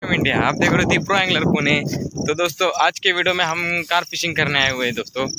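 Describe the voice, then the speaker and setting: A young man in his twenties hosting a fishing vlog outdoors on a lakeshore, filming on a handheld phone and calling out excitedly to his viewers. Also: on the high side, fast talker. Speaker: fishing vlogger host. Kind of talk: casual vlog narration to viewers. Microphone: handheld phone camera. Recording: loud outdoor wind noise.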